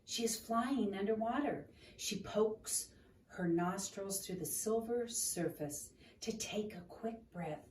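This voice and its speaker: A woman reading a children's book aloud in an expressive, deliberate storytelling manner, in a roomy, noisy recording made at a distance from the microphone. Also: medium pitch. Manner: expressive, deliberate, asking the listener questions.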